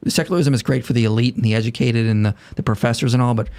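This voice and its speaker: Man in his thirties, podcast guest, earnest and argumentative, mid-pitched voice. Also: steady tone, very fast, a little mellow. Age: 30s. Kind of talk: casual conversation.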